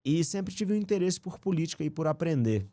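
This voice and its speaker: A man, a podcast guest telling his life story in a relaxed, slangy, earnest way. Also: fairly high pitch, brisk, no echo. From Rio de Janeiro, raised in Brasília. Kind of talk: casual conversational storytelling.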